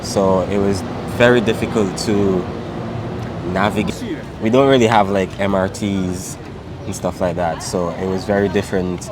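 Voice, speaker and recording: A young man with a fairly low voice talks in a loose, unhurried way, full of fillers. He is recorded outdoors on a city street. Street and traffic noise is clearly heard behind him. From Saint Vincent and the Grenadines. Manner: relaxed, many fillers like "um" and "like". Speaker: interviewee. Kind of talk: casual street interview.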